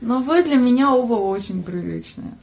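A young woman in casual studio chat as a podcast guest, brash and teasing, swearing freely and quick to raise her voice. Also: on the high side, lively intonation, vocal fry, darkish tone. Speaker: podcast guest and camera operator.

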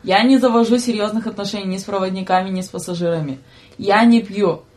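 A woman's voice: mid-range voice, fast. A young adult woman, a guest in a casual chat, telling stories in slangy speech and acting out what was said. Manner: animated, slangy, giggly storytelling with acted-out quotes. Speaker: podcast guest, student train attendant.

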